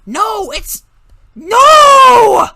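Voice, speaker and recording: Someone voicing a shrill, furious teenage boy. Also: sing-song, very slow, gravelly, heavily acted. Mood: urgent, exasperated. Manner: shouting, urgent, exasperated. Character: furious panicking early-teen boy in goggles, bossy.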